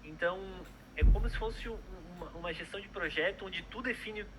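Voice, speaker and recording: A man's voice: high voice, a little fast, noisy background. A young man, a product manager chatting casually in an interview, hesitant with many fillers and restarts.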